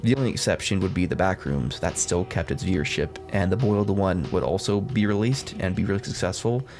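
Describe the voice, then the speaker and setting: Low-voiced sarcastic man over music. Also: a little mellow. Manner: sarcastic, blunt, swears freely. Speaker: video essay host. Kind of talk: casual scripted commentary video. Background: background music.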